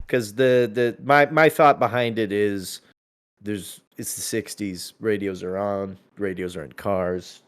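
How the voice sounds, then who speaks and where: A man with a fairly low voice talks in a casual, unscripted way. He thinks out loud, stopping and starting and often repeating words before finishing a thought.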